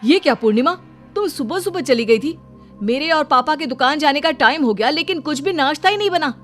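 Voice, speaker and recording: A woman's voice: high-pitched, lively intonation, a little fast, faint music behind. Woman playing an easygoing young husband. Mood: complaining.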